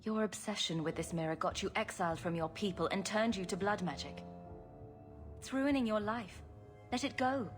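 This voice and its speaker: A woman's voice: medium pitch, moderate pace, soft background music, close to an everyday voice. An actress playing a self-assured female adventurer in a video game, with a dry, sardonic delivery. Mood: concerned, firm. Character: wry, self-assured female adventurer hero with quick sarcastic wit.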